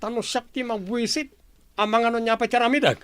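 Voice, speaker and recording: A man's voice: very high voice, steady tone, brisk, a bit bright, clear, resonant tone, no room sound. A man playing an irritable, callous young husband in an audio drama, with a curt, scornful manner. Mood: scornful, irritated.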